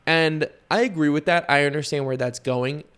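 A young man from Bulgaria hosting a solo podcast and talking to his listeners in a relaxed, conversational way. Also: a little high, moderate pace, smooth. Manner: conversational, with fillers and asides to listeners. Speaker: podcast host. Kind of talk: casual solo podcast monologue.

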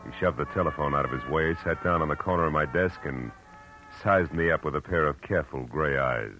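An actor playing a hard-boiled, world-weary private detective in a 1940s radio drama, terse, wry and tough-talking. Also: male voice, very deep voice, fairly quick, sharp tone. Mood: wry, laconic. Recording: vintage radio recording, music underneath.

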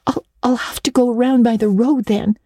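A woman's voice: on the high side, fairly even intonation, moderate pace, warm tone, no room sound, clean studio sound, natural voice. A woman voicing an earnest, romantic young girl in a dramatic storybook reading, gushing and breathless, tripping over eager repetitions. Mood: anxious, reluctant. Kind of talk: dramatic audiobook reading of classic children's literature.

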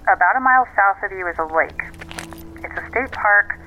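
An actress playing a brisk, unflappable woman coworker giving clipped, matter-of-fact instructions over a phone call in an audio drama. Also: mid-range voice, expressive, a little hiss or hum. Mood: directive.

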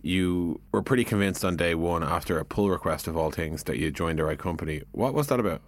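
A man's voice: low voice, fairly quick. A man hosting a podcast interview in a casual, joking, conversational way. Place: podcast studio.

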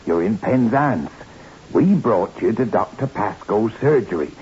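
Low-voiced West Country seaman, rustic, deferential, superstitious, dull vintage radio recording. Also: lively intonation, unhurried, darkish tone. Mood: kindly, deferential. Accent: West Country English. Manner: folksy, respectful. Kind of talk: 1960s radio drama acting. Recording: muffled old radio with hiss.

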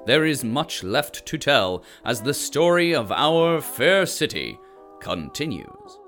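A man's voice: mid-range voice, big pitch swings, slow pace, a bit bright, soft background music. A man narrating an audio drama in a wry, ornate corporate tone. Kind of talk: scripted narration.

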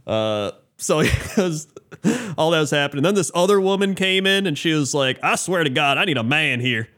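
Relaxed man with a fairly high voice. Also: normal speed. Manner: laid-back storytelling, mimics people he overheard. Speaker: podcast co-host. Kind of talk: casual chat.

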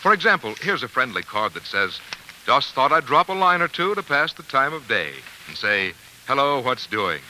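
A man's voice: mid-range voice, big pitch swings, moderate pace, pushed and bright, rough recording. A man announcing commercials in polished, folksy 1940s radio style, selling directly to the listener. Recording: hissy old radio broadcast.

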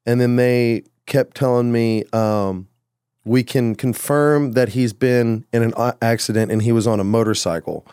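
A man's voice: mid-range voice, fairly even intonation, a little slow, mellow, clean tone, no echo. Rambling musician man, dry sound. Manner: rambling, loose, full of "like" and "you know". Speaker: musician guest. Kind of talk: casual conversation.

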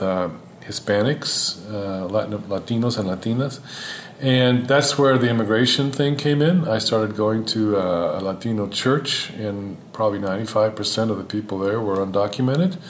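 A man from Houston, an Old Testament scholar, talking as a podcast guest in a thoughtful, measured way with frequent fillers. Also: on the low side. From Houston, Texas, United States. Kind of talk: podcast interview. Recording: roomy sound with faint ambient noise.

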